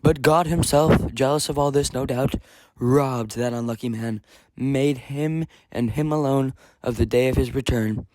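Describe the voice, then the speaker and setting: A man's voice: mid-range voice, a little slow, darkish tone, no echo, close to an everyday voice, low-quality recording. A man voicing a hearty, nostalgic warrior king with earnest, formal declamation in an audiobook. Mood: fervent, wistful.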